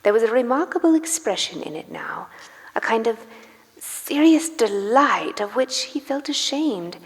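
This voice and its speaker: A woman narrating a dramatic story live in a reverberant church, with grave, deliberate phrasing. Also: on the high side, lively intonation. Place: live performance in a large church. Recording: reverberant church hall, music behind. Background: music.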